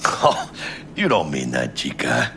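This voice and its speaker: A man's voice: very deep voice, huge pitch swings, takes it slowly, sharp tone, a little hiss or hum, heavily acted. A man playing a brash, friendly streetwise smuggler in a cyberpunk video game, with a Mexican American accent and slangy, emphatic talk peppered with Spanish swearing. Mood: warm, teasing.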